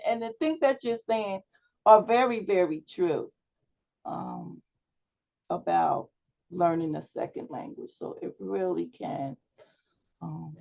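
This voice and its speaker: A woman instructor leading an online class discussion, speaking in an unhurried, encouraging way with frequent fillers over a video call. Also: fairly low pitch, gravelly. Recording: dull lo-fi video call audio.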